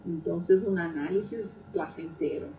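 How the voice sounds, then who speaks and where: A woman's voice: on the low side, dark tone, a little hiss or hum. Brief: middle-aged Brazilian woman with a Portuguese accent, reflective storyteller, recorded far from the mic in a dull, echoey room. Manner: unhurried, hesitant with frequent "eh" fillers. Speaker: Spanish teacher telling her life story. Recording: roomy, distant, dull sound.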